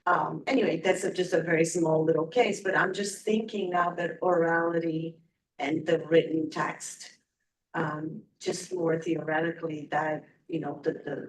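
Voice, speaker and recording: A woman in the audience at a conference, making a hesitant comment as she thinks aloud. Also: sits low. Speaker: audience member asking a question. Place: conference room. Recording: voice from a distance in the room.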